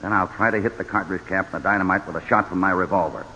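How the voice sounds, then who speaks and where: A low-pitched American cowboy hero from a golden-age radio western. He is commanding, brave and deliberate in his delivery. The sound is a dull old recording with long reverb. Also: steady tone, sharp tone, a little hiss or hum. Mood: grave, calculating. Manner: firm, deliberate, authoritative. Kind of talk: 1940s-50s radio western acting.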